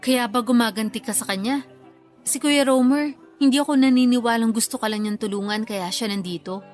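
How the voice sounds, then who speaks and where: An actress plays a perceptive younger sister with a fairly high voice. She is blunt and reproachful yet protective, acting in radio drama style over faint music. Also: a little fast, lightly acted. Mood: confrontational. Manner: blunt, confrontational.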